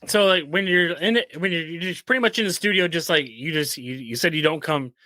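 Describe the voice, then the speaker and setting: Guy hosting a podcast, bright sharp voice, trips over his questions, dry close mic. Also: fairly high pitch, brisk, dry recording. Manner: eager, stumbling questions with many restarts. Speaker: podcast host. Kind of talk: casual interview chat.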